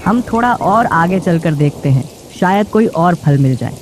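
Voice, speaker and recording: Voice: medium pitch, lively intonation, moderate pace, close to an everyday voice. An actor voicing a sensible, polite father sparrow in a bird cartoon. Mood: calm, practical. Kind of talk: Hindi bird cartoon voice acting. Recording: rain noise behind.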